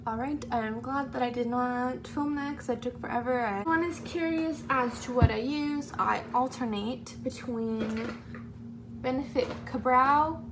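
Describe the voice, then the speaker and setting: Higher-pitched young woman, noisy hum behind her. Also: a little slow. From California, United States. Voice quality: hoarse. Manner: self-deprecating, rambling, trailing into mutters. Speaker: beauty vlogger. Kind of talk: casual makeup tutorial chat. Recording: amateur home video, clear humming background noise.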